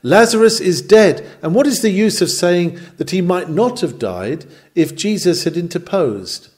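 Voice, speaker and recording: A man reading a sermon aloud with emphatic, measured, oratorical phrasing in a rhetorical preaching style. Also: sits high, lively intonation, darkish tone. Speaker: sermon reader.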